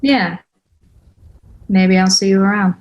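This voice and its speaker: A woman's voice: mid-range voice, slow pace, lightly acted. A woman playing a tired, guarded mother in a screenplay table read, giving brief, casual, wry replies. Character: tired, guarded young mother Corey, worn down. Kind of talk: table read of a film script.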